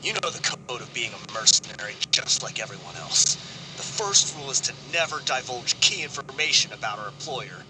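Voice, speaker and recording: Man as a defiant, animated hardened mercenary. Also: medium pitch, brisk, noisy background, natural voice. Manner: taunting, defiant. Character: hardened male desert mercenary, defiant and hostile. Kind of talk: video game voice acting.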